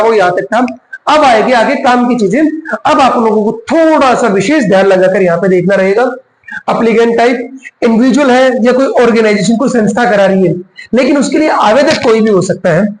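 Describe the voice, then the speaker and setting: A man giving a casual step-by-step tutorial, explaining as he goes and dropping in English terms. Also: very high-pitched. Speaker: tutorial host. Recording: roomy, echoing voice recording.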